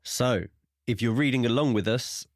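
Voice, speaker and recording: A man's voice: mid-range voice, normal speed, no echo, clean studio sound. A man co-hosting a podcast, talking casually about a book. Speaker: podcast co-host discussing a novel. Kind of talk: casual book-club chat.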